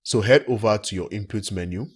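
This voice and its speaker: Low, husky male tutorial presenter. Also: a little fast. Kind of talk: step-by-step software tutorial narration.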